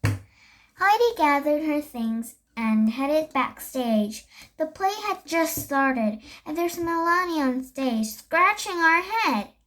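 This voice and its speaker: High young voice, child reader, slow pace, halting storybook read-aloud, self-correcting. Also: expressive, a bit bright. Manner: halting, stumbles and corrects words.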